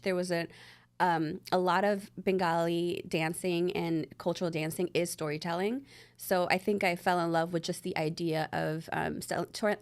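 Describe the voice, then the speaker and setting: A woman from Bangladesh, an actress and writer, answering interview questions thoughtfully in a podcast studio, with some hesitation. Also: fairly low pitch.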